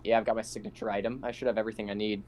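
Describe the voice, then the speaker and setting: A man's voice: fairly low pitch, flat delivery, racing, a little mellow, slight background noise. A man playing a tabletop role-playing game, amused and laughing often as he describes his character's actions. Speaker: player.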